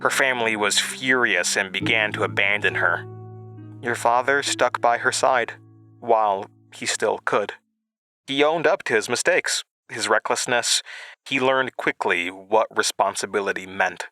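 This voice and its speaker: A man voicing a gentle, wistful, free-spirited woman in an audio drama, speaking philosophically and hesitantly. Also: fairly low pitch, unhurried, no echo. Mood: sad, wistful. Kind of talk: quoted dialogue in audio drama. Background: music.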